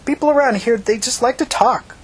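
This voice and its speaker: A man's voice: very high voice, a little fast, breathy edge, pitched above the performer's own voice. A man reading the part of an evasive, nervous, bookish male computer whiz who answers defensively in an audiobook. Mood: flustered. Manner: guarded, hesitant, defensive.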